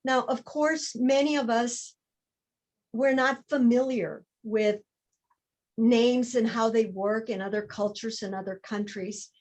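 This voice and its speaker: A woman speaking as a guest on a discussion panel, explaining her points in a measured, reflective way with emphasis on key words. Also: on the high side.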